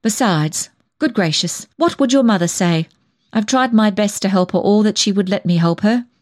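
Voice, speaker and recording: Severe older woman, blunt, solemn scold with a soft heart, for storybook reading, played by a female narrator. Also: mid-range voice, moderate pace, lightly acted. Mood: flustered, earnest. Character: stern, severe older female cousin, indignant, soft underneath.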